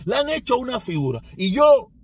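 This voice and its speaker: A man's voice: extremely high pitch, big pitch swings, fairly quick, breathy edge. A man from Cuba with a Cuban Spanish accent, giving a rambling, emphatic opinion monologue that circles back and repeats itself. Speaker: commentator.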